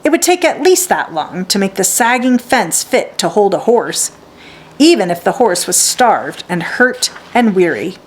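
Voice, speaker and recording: Expressive woman storyteller with lively pitch. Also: measured pace, a little hiss or hum. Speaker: read-aloud storyteller. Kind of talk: expressive children's storybook narration.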